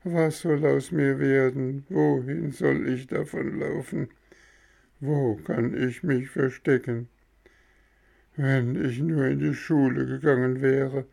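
A man voicing a frightened, stubborn wooden puppet boy, wailing and pleading in a high, strained voice. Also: creaky, darkish tone.

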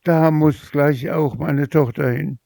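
A man doing a shrill, greedy scolding mother. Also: slow, gravelly, no echo, studio quality. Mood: astonished eager. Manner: shrieking, excitable.

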